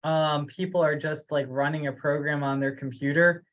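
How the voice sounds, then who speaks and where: A man's voice: fairly high pitch, steady tone, normal speed, a bit bright, lo-fi sound. A man leading a group discussion on a teleconference, speaking hesitantly as he thinks aloud. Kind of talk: discussion on an online group call. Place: remote teleconference.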